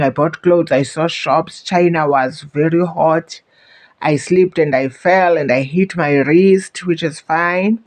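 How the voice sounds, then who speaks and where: A woman's voice: low voice, a little mellow. Chatty South African woman vlogger. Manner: chatty, repeats words, warns viewers. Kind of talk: casual storytelling to camera.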